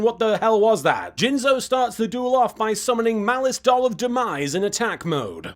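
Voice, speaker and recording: High-pitched sarcastic man narrating a recap. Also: fairly bright tone. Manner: sarcastic, sweary. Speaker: host of an anime recap series. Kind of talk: scripted comedic recap narration.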